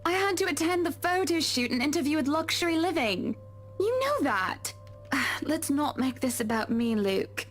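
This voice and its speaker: A woman's voice: sits high, very animated, moderate pace, soft background music. A woman voicing a frustrated young wife who speaks in an exasperated, pointed way. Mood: firm. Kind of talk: voicing a visual novel character.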